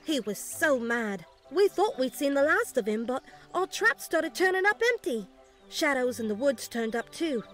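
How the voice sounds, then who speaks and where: High-voiced aggrieved village woman. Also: big pitch swings, moderate pace, fairly bright tone, soft background music. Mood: plaintive, aggrieved. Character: distressed village woman recounting bandit raids. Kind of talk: fantasy game dialogue.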